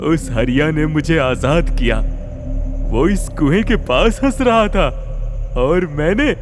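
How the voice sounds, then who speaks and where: A man voicing an evil, boastful demon with menacing delivery in an animated horror story. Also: fairly high pitch, takes it slowly, faint music behind. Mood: gloating. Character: gloating evil male laughter-stealing demon. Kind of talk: Hindi cartoon horror story acting.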